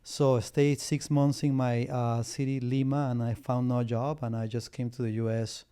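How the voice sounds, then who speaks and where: A middle-aged man from Peru speaks English, his first language being Spanish, at a medium pitch with a dark, relaxed tone. He talks in a casual interview way, halting often with restarts and fillers as he explains his work. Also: smooth. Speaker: guest, business litigation lawyer.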